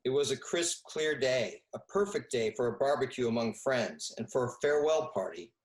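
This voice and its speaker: A man's voice: medium pitch, lively intonation, moderate pace, echoey room, natural voice, poor audio. A man playing a genial middle-aged storyteller in an audio drama, with a folksy manner. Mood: nostalgic storytelling. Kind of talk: audio drama first-person narration.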